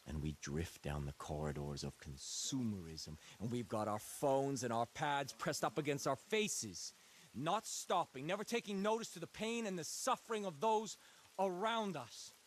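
An actor playing an intense, messianic cult leader in a video game, delivering a dramatic sermon with deliberate, repetitive rhetoric. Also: male voice, medium pitch, lively intonation, slight background noise, lightly acted. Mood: impassioned, scornful. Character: intense messianic male cult leader preaching to his flock.

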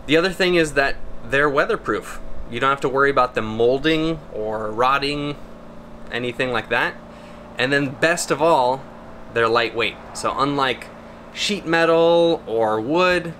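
A man's voice: medium pitch, bright, forceful tone, slight background noise. A man in his thirties giving an upbeat, friendly how-to talk outdoors beside his van, with laid-back slang. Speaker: vlogger.

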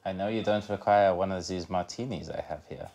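A man's voice: low voice, highs cut off. A man voicing a smug, theatrical villain in a spy-film parody, drawing out his gloating lines. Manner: drawn-out, gloating. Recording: very noisy, off-mic film soundtrack.

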